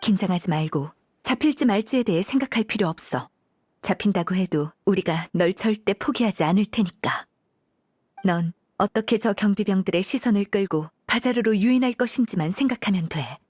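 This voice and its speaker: A steady female leader played by a woman, firm and reassuring, with a husky mid-range voice. It is game dialogue, recorded close and dry. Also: medium pitch, brisk, no room sound, lightly acted. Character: steady, encouraging female leader coaching a child.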